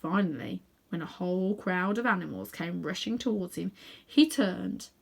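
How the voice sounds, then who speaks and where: A woman narrating a children's storybook aloud in an expressive reading style. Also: on the low side, normal speed, vocal fry, breathy edge. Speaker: storybook reader.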